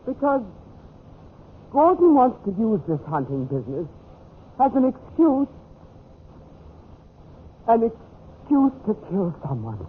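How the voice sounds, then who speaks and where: A woman's voice: fairly high pitch, huge pitch swings, slow pace, long pauses, full character voice. An American actress in a vintage radio drama, playing an anxious woman pleading urgently with her brother. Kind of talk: 1940s-50s radio drama acting. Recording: tinny, noisy old radio recording.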